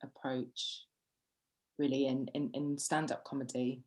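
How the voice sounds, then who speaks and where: A woman's voice: very deep voice, level tone, moderate pace, darkish tone, low-quality recording. A woman artist in conversation, tentative, often trailing off with frequent ums. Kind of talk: conversation about art.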